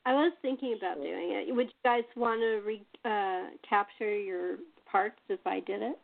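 A woman hosting a casual group conversation, speaking in a halting, conversational way. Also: medium pitch, gravelly. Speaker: host. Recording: thin phone-like call audio.

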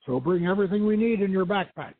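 A man's voice: high voice, animated, fast talker, pitched above the performer's own voice. Man playing terse adventurer, tinny radio sound. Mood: brisk, wary. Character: brisk, cautious male adventurer and treasure hunter. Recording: tinny band-limited radio stream, roomy echo.

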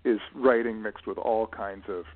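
A middle-aged man answering as an interview guest, reflective and measured, choosing precise words with pauses. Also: lowish voice, steady tone. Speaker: interview guest, writer and publishing professional. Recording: narrow, muffled phone-like audio.

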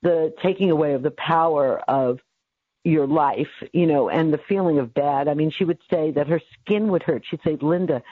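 A woman in her seventies from New York City, with a low, bassy voice, talking loosely as an interview guest, full of fillers and laughs. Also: moderate pace, breathy edge, no echo. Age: 70s. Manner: rambling, with many fillers and frequent laughs. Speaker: guest, radio network founder. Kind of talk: casual interview over the phone. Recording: tinny phone line, dry.

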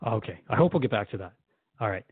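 A man's voice: on the low side, expressive, fast, slightly rough, no echo. Inquisitive male radio host, dry phone-like sound. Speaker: radio show host interviewer. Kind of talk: conversational interview in a radio drama.

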